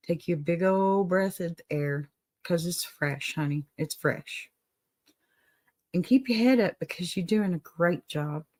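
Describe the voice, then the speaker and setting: A middle-aged woman with a Texas drawl speaks straight to her livestream viewers, folksy and motherly, calling them honey and y'all. Her voice sits a little low for a woman. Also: a little slow, darkish tone. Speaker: advice show host. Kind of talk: warm encouraging livestream advice talk.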